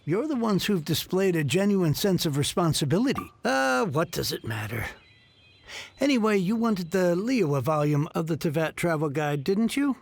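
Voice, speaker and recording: A man's voice: high-pitched, very animated, moderate pace, creaky, exaggerated voice. A man voicing a grateful middle-aged male merchant heir in a video game, speaking earnestly and effusively, with a little grumbling.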